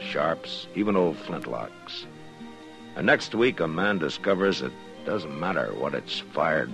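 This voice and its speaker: An actor playing a stern frontier marshal who speaks in a grave, measured way in a 1950s radio drama. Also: male voice, rumbling low, gravelly, full character voice. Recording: 1950s radio broadcast with music.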